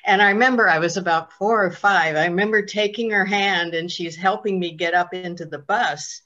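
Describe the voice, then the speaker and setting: Lowish-voiced woman on a muffled call. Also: normal speed, bright, forceful tone. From Denver, United States. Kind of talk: casual personal storytelling. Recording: dull lo-fi video-call audio.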